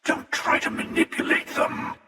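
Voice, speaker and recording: A man voicing a jaded, menacing and sardonic immortal hunter in a video game, with a gravelly, breathy, drawling voice under otherworldly reverb. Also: moderate pace, bright, forceful tone. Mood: cold menace. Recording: long reverb, distant echoing sound.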